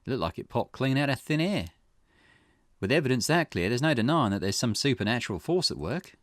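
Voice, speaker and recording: A man's voice: mid-range voice, big pitch swings, fairly quick, fairly bright tone, no echo, pitched above the performer's own voice. A man voicing a dry, inquisitive, businesslike male detective in dialogue read from a visual novel. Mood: curious, probing. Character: male private investigator, wry, inquisitive and businesslike.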